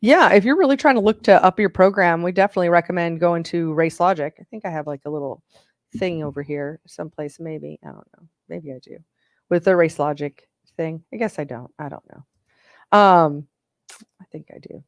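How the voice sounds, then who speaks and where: A chatty woman with a lowish voice co-hosts a live motorsport show. She rambles a little, greets viewers and reads out their comments. Her voice sounds dry and close. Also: moderate pace, dry recording. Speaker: co-host of a racing engine livestream. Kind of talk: casual chat.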